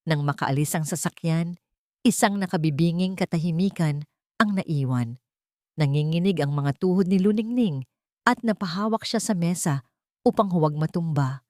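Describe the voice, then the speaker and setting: Narrator: Filipino woman, lowish register, dry sound, measured dramatic storytelling. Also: darkish tone, no room sound.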